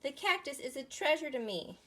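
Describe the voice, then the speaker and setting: An eager young animal from a children's storybook, acted out by a woman reader. The voice sits high, and it makes simple, childlike declarations with excitement. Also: moderate pace, slight background noise. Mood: excited, pleased.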